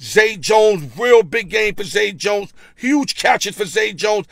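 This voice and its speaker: A middle-aged man talking football straight into a phone from inside his car. His voice sits high for a man and has a rough, gravelly edge. The sound is close and dry, and he rattles off stats bluntly, stumbling over his words now and then. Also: no room sound. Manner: blunt, rapid stat-reading with slang and stammered repeats. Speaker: sports betting show host. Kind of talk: casual NFL recap and picks. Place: parked car, indoors. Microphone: phone held at arm's length.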